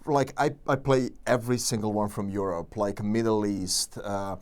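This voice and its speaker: A man's voice: on the low side, normal speed. A man with a Serbian accent, a guest actor chatting casually in an interview, telling stories with lots of fillers and restarts. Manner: animated storytelling, many fillers and restarts.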